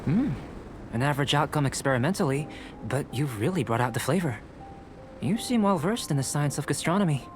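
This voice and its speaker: Man voicing a calm, courteous young alchemist over music. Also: on the high side, close to an everyday voice. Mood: calm, analytical. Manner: measured, analytical, formal, courteous. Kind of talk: video game character dialogue.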